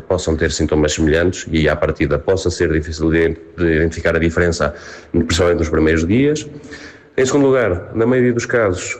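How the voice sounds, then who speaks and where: A man's voice: low-pitched, a little mellow. A man with a European Portuguese accent hosting an advice segment, explaining in a didactic way with questions and informal asides. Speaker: host of a health advice segment. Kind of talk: explanatory health talk to an audience.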